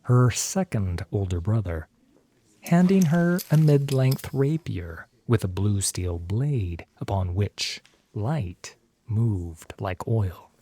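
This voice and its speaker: A man narrating a scripted fantasy story as an audiobook narrator, with deliberate, dramatic phrasing. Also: lowish voice, animated, mellow, breathy edge.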